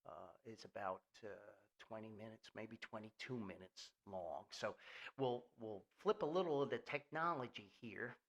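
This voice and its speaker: An older man hosting a podcast, rambling casually in a folksy, halting, storytelling way. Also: lowish voice, a bit bright. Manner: chuckles often, halting, folksy. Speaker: podcast host and author.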